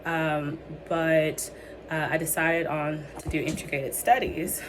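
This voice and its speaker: A woman's voice: deep voice, little pitch movement, takes it slowly. A young woman who is losing her voice, giving a hesitant student presentation full of ums and restarts. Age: young adult. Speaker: student presenting a capstone project. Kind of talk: presentation with many fillers. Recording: noisy background ambience.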